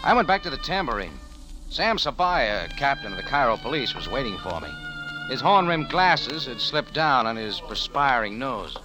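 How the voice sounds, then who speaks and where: An actor playing a tough, wisecracking cafe owner in a 1940s radio noir, with dry, hard-boiled delivery. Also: male voice, medium pitch, very animated, moderate pace, music in the background, natural voice, poor audio. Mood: terse narrating. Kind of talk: 1940s radio acting, noir narration and dialogue. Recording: old radio drama recording.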